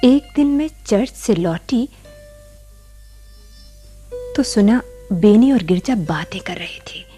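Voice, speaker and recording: Slow, breathy, devoted wife. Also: female voice, mid-range voice, expressive, soft background music. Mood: subdued, wistful. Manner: hushed, breathy, reflective. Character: devoted, worried wife quietly recalling past events. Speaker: radio drama actor. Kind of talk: dramatic first-person narration in a radio play.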